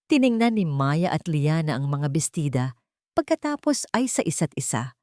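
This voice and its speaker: A woman's voice: deep voice, big pitch swings, moderate pace, a little mellow, no room sound, clean studio sound. A woman reading a scripted, dramatic audio story as its narrator.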